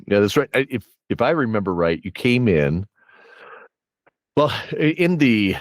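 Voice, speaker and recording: A man with a fairly low voice and a lively, swooping range, recorded close and dry. He hosts an interview in a casual, chatty style. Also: a little slow, no echo. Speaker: podcast host and interviewer. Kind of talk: casual interview chat with a scripted intro.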